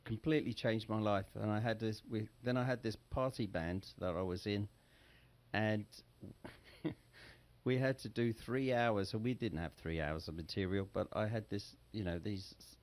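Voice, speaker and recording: A middle-aged man with an English accent talks through his story in a relaxed, chatty way. His voice sits fairly low, and he often breaks into easy laughter. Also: moderate pace. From England. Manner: relaxed, chatty, laughs while telling stories. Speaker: guest, Sinatra tribute singer. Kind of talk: casual interview storytelling.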